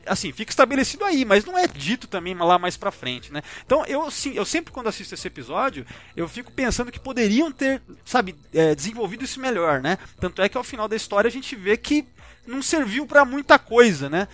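A Brazilian man with a high voice and very lively intonation hosts a casual podcast. He comments in a rambling, slangy, informal way. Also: fairly quick. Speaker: podcast host.

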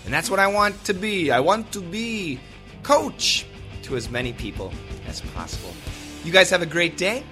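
A man, hosting an English-learning podcast and reading out listener comments in an upbeat, animated way. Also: high voice, normal speed, faint music behind. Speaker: podcast host and English teacher.

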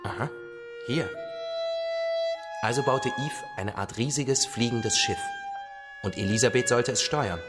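An actor playing a patient, solemn father-like survivor who tells stories gently and with measured phrasing in a radio drama. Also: mid-range voice, loud music behind the voice, close to an everyday voice. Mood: storytelling. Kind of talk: radio drama acting, storytelling.

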